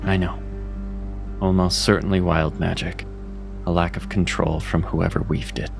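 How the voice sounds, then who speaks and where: A man's voice: low-pitched, normal speed, gravelly. A man voicing Seraphin, a wry cat mentor. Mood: steady. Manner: steady, reassuring, self-critical. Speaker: voice actor. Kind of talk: audio drama acting. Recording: music underneath.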